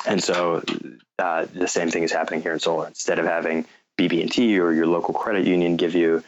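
An American man answering interview questions and explaining a business, often pausing and repeating words as he picks his phrasing. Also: low voice, flat delivery, highs cut off, noisy background. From North Carolina, United States. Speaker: guest, fintech company founder.

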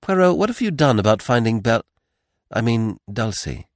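A man voicing a detective's earnest, impatient gentleman companion in a blunt manner, for a mystery audiobook. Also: medium pitch, sing-song, moderate pace, no room sound, studio quality.

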